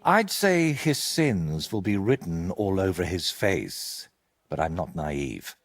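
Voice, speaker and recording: An actor playing a troubled, guilt-ridden older medieval painter in a video game, husky and earnest, as if confessing. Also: male voice, low voice, sing-song, takes it slowly, exaggerated voice. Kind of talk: video game dialogue acting.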